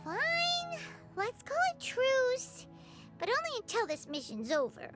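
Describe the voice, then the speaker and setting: Squeaky, tiny, excitable fairy companion. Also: female voice, normal speed, exaggerated voice. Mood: grudging, teasing. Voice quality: squeaky, childlike. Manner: speaks of herself in the third person, exclaiming. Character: tiny excitable, childlike floating fairy companion, female, quick to bicker. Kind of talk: video game voice acting. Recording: background music under the voice.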